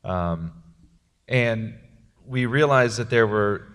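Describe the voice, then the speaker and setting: A man's voice: on the low side, slow pace, fairly bright tone, clear, resonant tone, long reverb tail. A man, a filmmaker, talking at a panel after a film premiere in a jokey, rambling way with many fillers. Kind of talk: post-premiere panel talk.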